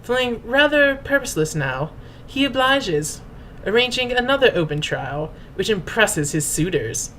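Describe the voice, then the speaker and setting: A woman's voice: medium pitch, big pitch swings, measured pace, hardly any pauses, fairly bright tone, slight background noise, rough recording. Pompous woman giving a theatrical reading. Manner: pompous, mock-grand, formal delivery. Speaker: host and reader. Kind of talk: theatrical dramatic reading of fan fiction.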